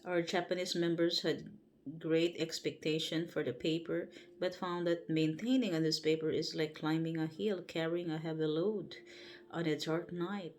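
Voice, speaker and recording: A woman with a Filipino accent reads a book aloud on a home livestream before bed. She reads carefully and haltingly, stopping to correct herself. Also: deep voice, steady tone, darkish tone, a little hiss or hum. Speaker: livestream host. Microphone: livestream setup.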